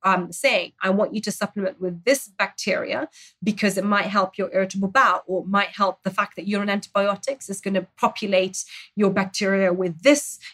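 A woman's voice: mid-range voice, steady tone, brisk, a bit bright. Earnest woman explaining nutrition. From Zambia. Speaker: dietitian guest. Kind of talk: expert explaining in interview.

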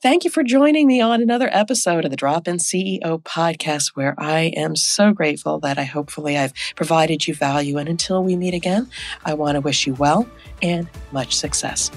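A woman's voice: low voice, animated, normal speed, soft background music. A woman hosting a solo business podcast who is losing her voice. She coaches leaders in a direct way and asks many rhetorical questions. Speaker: podcast host and leadership consultant.

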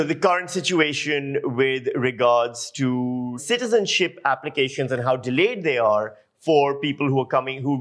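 A man from Pakistan giving an informal instructional talk as a journalism lecturer, explaining emphatically and posing rhetorical questions. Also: mid-range voice.

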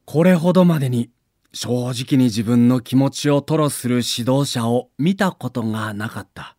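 A man's voice: medium pitch, moderate pace, darkish tone, dry recording, clean studio sound. A man delivering dramatic scripted narration of a sports documentary, with theatrical, emotive storytelling in the role of a reporter.

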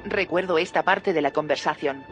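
A woman's voice: low-pitched, normal speed, soft background music, close to an everyday voice. An actress playing an earnest, probing woman in an audio drama, serious and inquisitive. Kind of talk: audio fiction drama acting.

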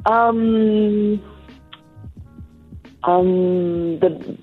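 A young woman from France answering interview questions in English, soft-spoken and halting, with pauses. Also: mid-range voice, fairly even intonation, faint music behind. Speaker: guest, athlete. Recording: tinny phone-like line.

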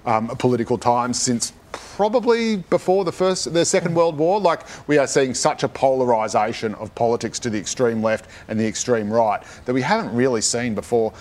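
A man's voice: mid-range voice, lively intonation, fairly quick, hardly any pauses, a little mellow. An Australian man giving his opinions in a panel discussion, speaking colloquially and emphatically. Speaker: radio panellist.

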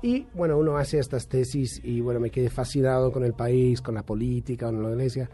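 A middle-aged British man speaks Spanish with a light Argentine tinge. His voice is dark and mellow, a little husky, and he thinks aloud, restarting phrases and tagging questions on. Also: mid-range voice, steady tone, fairly quick. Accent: Argentine-tinged Spanish over a British base. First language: English. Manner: thoughtful, many restarts and tag questions. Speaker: journalist and author, interview guest. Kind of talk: conversational interview answers.

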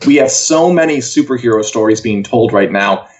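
A man's voice: mid-range voice, animated, normal speed, darkish tone. A man co-hosts an entertainment news show, chatting casually with a wry, conversational manner.

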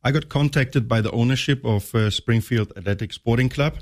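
A man from northern Germany with a German accent, answering interview questions in a polite, measured way with hesitant fillers. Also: mid-range voice. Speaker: soccer coach guest.

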